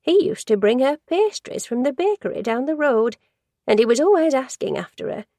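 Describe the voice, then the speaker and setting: A woman's voice: high-pitched, very animated, moderate pace, dark tone, dry recording, studio quality, voice pushed up. A woman voicing a gossipy, judgmental older woman who works in an office, rambling and easily sidetracked in a storybook reading. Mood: indignant.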